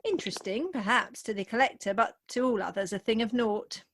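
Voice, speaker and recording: High-pitched young bride played by an actress, sentimental and jealous, theatrically earnest Victorian comedy style for radio. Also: female voice, fairly quick. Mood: high-minded, reproachful. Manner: mannered, declamatory, earnest. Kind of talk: theatrical comic radio play acting. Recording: remote home video-call recording.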